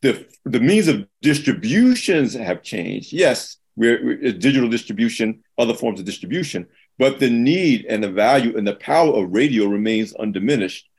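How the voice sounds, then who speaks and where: A man with a deep voice moderating a panel discussion, speaking earnestly and often restarting his sentences. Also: lively intonation, moderate pace, darkish tone, slightly rough.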